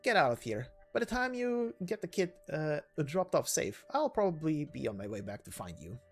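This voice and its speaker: A cocky young knight woman, voiced by a narrator, full of swagger. Her slangy, emphatic lines swing widely in pitch, with music underneath. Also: very animated, moderate pace, exaggerated voice. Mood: bossy, confident. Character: brash, eager, swaggering young female knight. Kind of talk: game story voice acting.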